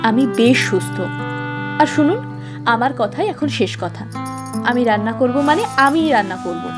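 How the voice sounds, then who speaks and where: A woman acts out a young cartoon heroine. She is sincere and playfully bossy, speaking in romantic animated dialogue. Music plays clearly behind her. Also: on the high side, normal speed, natural voice. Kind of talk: animated love story dialogue. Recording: studio voice under loud background music. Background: music, guitar.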